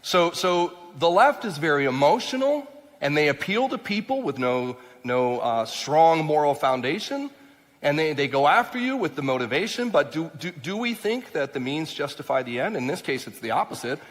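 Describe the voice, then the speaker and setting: A man's voice: a little high, very animated, moderate pace. A man who grew up in Mexico and Ecuador, a congressional candidate giving an emphatic talk with slides at a church, often repeating words and rising on key points. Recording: long hall reverb.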